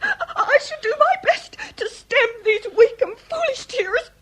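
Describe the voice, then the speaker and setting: High-voiced, melodramatic Victorian widow. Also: female voice, very animated, slow pace, fairly bright tone, exaggerated voice. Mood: tearful wailing. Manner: theatrical lamenting, folksy mangled grand words. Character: melodramatic, garrulous working-class Victorian widow. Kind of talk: comic period radio drama.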